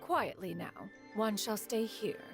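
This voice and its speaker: A woman's voice: medium pitch, slow, vocal fry, over loud music. A woman voicing a proud, ancient immortal in a video game, speaking formally and haughtily. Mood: hushed. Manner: formal, haughty, refers to self as "one". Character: Cloud Retainer, proud ancient crane immortal in woman's form. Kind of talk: video game character acting.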